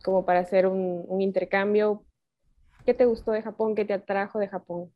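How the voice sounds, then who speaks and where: A woman's voice: mid-range voice, fairly even intonation, moderate pace, dull audio. A cheerful woman co-hosting a casual interview, asking the guest questions. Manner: cheerful, laughs often. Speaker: podcast co-host.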